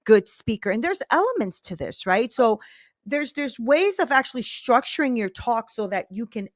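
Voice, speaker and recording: A woman hosts an interview podcast in a chatty, emphatic way. Her voice sits somewhat high, and the sound is dry and close with no room echo. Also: big pitch swings, normal speed. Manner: chatty, emphatic, uses lots of "like" and "right?". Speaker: podcast host.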